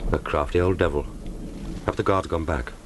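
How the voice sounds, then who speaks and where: An actor playing a resolute, wry British secret agent in a radio drama, speaking in a dry, understated British accent. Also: male voice, rumbling low, normal speed, a little hiss or hum. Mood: curious. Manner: dry, understated, musing aloud. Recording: dramatic music bed under voice. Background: loud orchestral film score.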